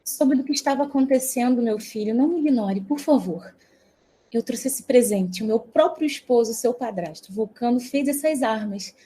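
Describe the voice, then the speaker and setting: A woman playing a pleading, self-justifying mother goddess in an audio drama, with a soft, breathy voice. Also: on the high side, expressive, normal speed, slight background noise, natural voice.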